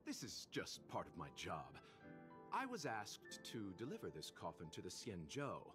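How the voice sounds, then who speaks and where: A man playing a courteous, worldly, enigmatic merchant in a video game, with refined, formal, gently amused speech. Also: deep voice, wildly swooping pitch, moderate pace. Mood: urbane, gentle. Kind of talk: video game character dialogue. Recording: prominent background music under the voice.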